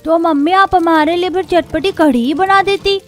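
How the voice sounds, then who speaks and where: A woman's voice: very high-pitched, moderate pace. A woman voicing an eager, pleading little child in a cartoon story. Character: eager little child who adores lassi and home food. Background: music.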